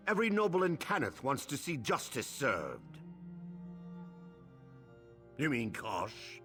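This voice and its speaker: Stern lawman, dramatically swooping pitch. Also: a bit bright. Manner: formal, authoritative, clipped. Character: stern, haughty male chief inspector, unyielding lawman. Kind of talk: scripted video game dialogue.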